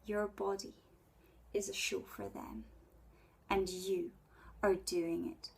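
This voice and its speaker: A woman in her twenties performing a spoken word poem in a breathy voice, with intimate, deliberate dramatic phrasing. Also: medium pitch, little pitch movement. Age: 20s. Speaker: poet. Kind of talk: spoken word poetry recital.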